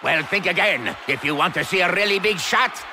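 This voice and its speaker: An actor playing a loud, boastful, hot-tempered greedy villain in a video game cutscene. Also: male voice, high voice, lively intonation, fairly quick, noisy background. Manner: boastful, exaggerated.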